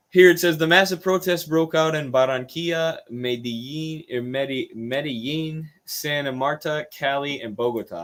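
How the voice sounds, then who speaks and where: A man with an American accent hosting a political livestream, explaining news in an earnest, informal way with halting phrasing and some reading aloud. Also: mid-range voice, fairly bright tone.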